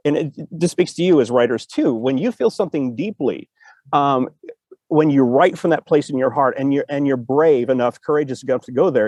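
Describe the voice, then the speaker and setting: A man's voice: medium pitch, darkish tone, no room sound. A man hosting a casual conversation, telling personal stories in an earnest, emotive way. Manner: earnest and emotive, acts out remembered dialogue. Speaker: podcast host and audio drama writer.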